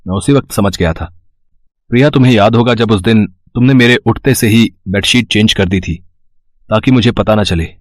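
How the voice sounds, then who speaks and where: A man plays a principled young husband in a cartoon family tale. His voice sits a little low and is recorded dry. He speaks gently, with earnest persuasion. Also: moderate pace, no room sound, close to an everyday voice. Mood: earnest, pleading. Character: caring young husband and son, calm, principled. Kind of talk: animated moral story voice acting.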